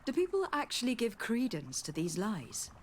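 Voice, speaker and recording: A woman's voice: fairly high pitch, huge pitch swings, moderate pace, slight background noise. An actress playing a young noblewoman diplomat in a video game, formal, tactful and composed. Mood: courteous, measured. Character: poised young noblewoman diplomat, courteous and measured. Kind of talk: scripted video game dialogue.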